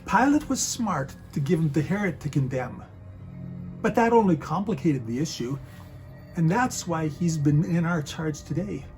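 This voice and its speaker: A stern Roman soldier played by a man in a passion play, with a fairly high voice and a declamatory, scolding manner. Loyal and impatient, he speaks over clear background music. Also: expressive, moderate pace, darkish tone, close to an everyday voice. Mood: grave, scornful. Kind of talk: dramatic reading of a church passion play.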